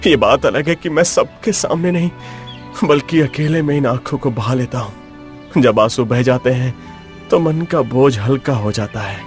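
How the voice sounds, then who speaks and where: Mid-pitched sorrowful young prince, acted by a man. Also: normal speed, faint music behind, natural voice. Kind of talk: Hindi cartoon moral story voice acting.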